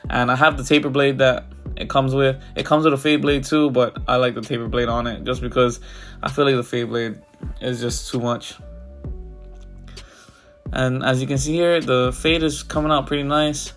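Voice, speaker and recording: A man's voice: mid-range voice, flat delivery, moderate pace, fairly bright tone, faint music behind. A barber with a congested, stuffy voice, talking casually over his haircut tutorial. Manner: casual, loose, filler-heavy walkthrough. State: congested from a cold.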